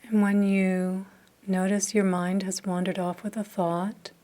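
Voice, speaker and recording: A woman leading a guided meditation, speaking softly and calmly with long pauses between phrases. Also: medium pitch. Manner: slow, soft, calm with long pauses. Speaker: meditation teacher.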